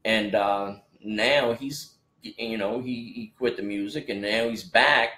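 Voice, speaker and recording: A man's voice: lowish voice, takes it slowly, sharp tone, echoey room. A man in his forties in a casual podcast chat, rambling with many restarts and fillers. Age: 40s. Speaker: podcast co-host, rapper.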